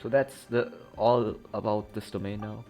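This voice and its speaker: A man's voice: lowish voice, level tone, normal speed, warm tone. A young man giving casual gameplay commentary in an offhand, slangy way. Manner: offhand, slangy, mutters short reactions. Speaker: game streamer. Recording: game music behind the voice.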